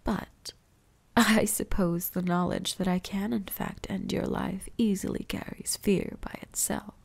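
An ancient vampire huntress, acted by a woman, speaks in an intimate ASMR roleplay. Her voice is dark, breathy and whispery, with a gravelly fry in it. She is predatory and seductive, teasing with condescension. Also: medium pitch, steady tone, measured pace. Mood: haughty, menacing.

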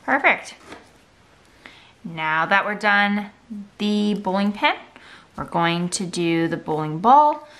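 A woman's voice: medium pitch, lively intonation, takes it slowly, pauses often, fairly bright tone. A woman art teacher giving a step-by-step drawing tutorial for children, in simple, encouraging instructional phrases.

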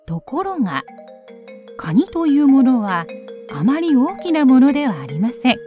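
A woman narrating a children's story in an expressive storytelling style. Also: high voice, moderate pace, muffled recording, faint music behind.

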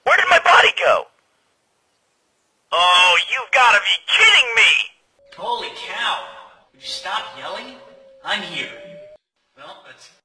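A man's voice: high-pitched, animated, slow, long pauses, pushed and bright, a little hiss or hum, heavily acted. A man voicing an irritable, sarcastic young soldier who has become a ghost, in conversational, exasperated cartoon comedy dialogue. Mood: awkward, irritated.

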